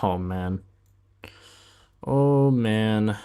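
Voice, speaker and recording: A man's voice: fairly low pitch, very slow, mellow, clean tone. A young man hosting a sports betting livestream, chatting casually in slangy, informal language and thinking aloud as he goes. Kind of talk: casual livestream chat and commentary.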